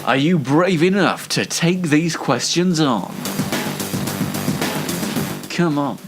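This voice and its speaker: A man's voice: a little high, lively intonation, takes it slowly, loud music behind the voice. A man hosting an online variety show in a chatty, playfully theatrical, mock-dramatic way. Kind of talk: chatty scripted-style presenting with ad-libs.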